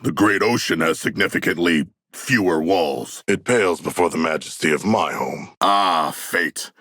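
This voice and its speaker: A man voicing a formal, bloodthirsty yet childlike humanoid shark warrior for a video game, with gravelly, stilted, grandiose speech. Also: low voice, normal speed, fairly bright tone, no room sound, studio quality. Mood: calm, earnest.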